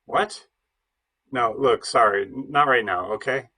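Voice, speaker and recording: A man's voice: mid-range voice, measured pace, sharp tone. A man playing a hesitant, stammering, defensive would-be bohemian in his late thirties in an audio play. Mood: defensive, harried.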